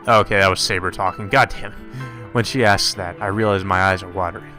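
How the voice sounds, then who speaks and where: Lowish bright guy doing a let's play, reads the lines then makes sweary disbelieving comments, bit of music under it. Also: fairly even intonation, brisk. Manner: irreverent, sweary, reacting incredulously to the script. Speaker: let's player reading a visual novel aloud. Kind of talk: casual commentary mixed with reading aloud.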